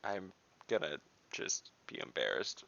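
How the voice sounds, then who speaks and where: A man's voice: deep voice, very animated, a little slow, gravelly, sharp tone, lo-fi sound. A man chatting casually as a player in an online tabletop role-playing game. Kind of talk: casual online gaming chat.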